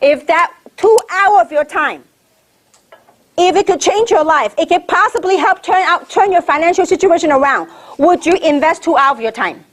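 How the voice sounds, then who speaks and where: A woman's voice: very high-pitched, expressive, normal speed. A middle-aged woman from Hong Kong giving a motivational training talk, emphatic and repetitive, firing rhetorical questions at the audience. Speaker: network marketing leader.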